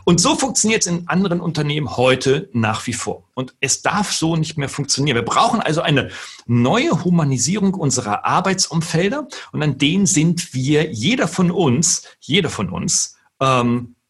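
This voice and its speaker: Husky guy, middle-aged, earnest keynote talk, leans hard on key words. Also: a little high, big pitch swings, normal speed. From northern Germany. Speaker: keynote speaker and lecturer. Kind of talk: spoken talk on leadership.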